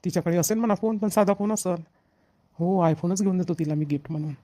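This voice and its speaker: Casting: male actor as young village groom-to-be for cartoon, Vidarbha Marathi, earnest and eager, very brisk pace. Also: high voice, dark tone, lightly acted. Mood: musing, eager. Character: eager young village bridegroom, a dutiful son. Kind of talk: amateur cartoon dialogue.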